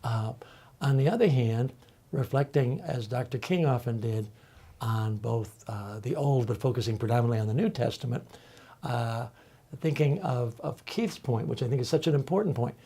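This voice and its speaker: An older man on a discussion panel, speaking thoughtfully and haltingly, with many pauses and fillers. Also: mid-range voice, mellow, husky. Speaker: panel guest, college faculty member.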